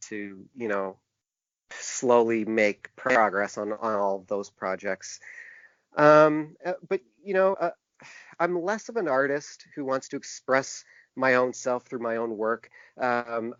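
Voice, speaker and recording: Halting, earnest man, dry dull sound. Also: mid-range voice, a bit bright, no echo. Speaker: interview guest; typographer and book designer. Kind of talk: conversational interview answers. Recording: dull, narrow-band lo-fi sound.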